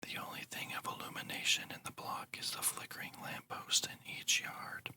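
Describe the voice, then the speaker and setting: A narrator speaking entirely in a breathy whisper, guiding a meditation in a slow, soothing, deliberate way in ASMR style. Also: bright, forceful tone.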